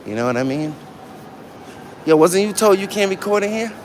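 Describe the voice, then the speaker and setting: A man's voice: high voice, animated, moderate pace. Blunt New man, noisy livestream. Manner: slangy, profane, blunt. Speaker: livestreamer filming in public. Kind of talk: casual livestream chat with viewers. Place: public library building, on the move. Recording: noisy live handheld stream.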